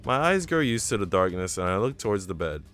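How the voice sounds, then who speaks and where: An earnest, self-doubting young woman tells her own visual novel story in a man's fairly low voice. The reading is reflective and storybook-like, with faint music underneath. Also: big pitch swings, brisk, a bit bright. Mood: self-critical, uneasy. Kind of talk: visual novel narration read aloud. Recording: music behind the voice.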